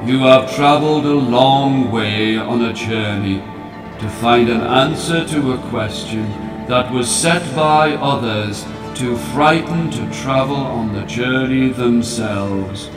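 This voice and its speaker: An ancient, all-knowing old man of a planet, as a man acts him out for an audio story. He speaks slowly and solemnly, with a grave impatience. The voice sounds distant and heavily echoed, over faint music. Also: medium pitch, natural voice. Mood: probing, solemn. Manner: slow, deliberate, solemn.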